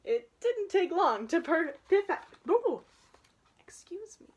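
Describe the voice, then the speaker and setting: A woman's voice: extremely high pitch, big pitch swings, slow. A young woman reading a children's picture book aloud, with chatty asides to her listeners. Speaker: storybook reader.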